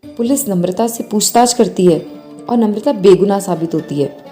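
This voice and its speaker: Mellow woman storyteller. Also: medium pitch, normal speed, soft background music. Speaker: narrator. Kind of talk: scripted bedtime story narration.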